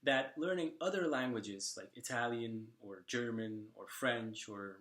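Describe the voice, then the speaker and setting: A young man from Slovakia, talking casually to the camera in a vlog and often pausing on fillers and restarts. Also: medium pitch, a bit bright, reverberant. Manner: hesitant, many fillers and restarts. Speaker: language vlogger.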